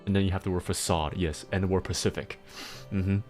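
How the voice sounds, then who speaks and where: A man livestreaming and chatting casually, explaining things in halting bursts with frequent restarts and laughter, speaking with a mixed accent. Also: low-pitched, steady tone, a little mellow, soft background music. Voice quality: self-described mixed accent. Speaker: livestreamer answering chat.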